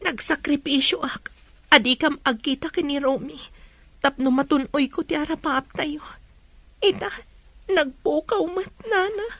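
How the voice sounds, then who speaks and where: An actress plays a grieving young Filipino woman who clings stubbornly to hope. Her voice is very high and sits close and dry, in radio drama style. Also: unhurried, a bit bright, dry recording. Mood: sorrowful resolve. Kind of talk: Ilocano radio drama acting.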